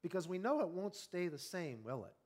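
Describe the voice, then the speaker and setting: A man in his fifties preaching an evening sermon, earnest and conversational, often asking the congregation questions and slowing down on key words. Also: high voice, big pitch swings, a little mellow. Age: 50s. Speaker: pastor.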